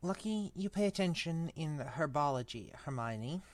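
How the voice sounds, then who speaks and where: A woman's voice: on the low side, expressive, normal speed, a bit bright, dry recording. Woman doing a swooping, rattled schoolboy. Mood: relieved, dry. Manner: grumbling, exclaiming. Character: sarcastic, nervous schoolboy, blunt and easily rattled.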